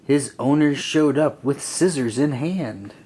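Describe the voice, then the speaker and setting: A man reading a rhyming children's story aloud in an expressive, deliberate, sing-song way. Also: medium pitch, husky, poor audio. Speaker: storybook reader. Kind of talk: children's read-aloud narration.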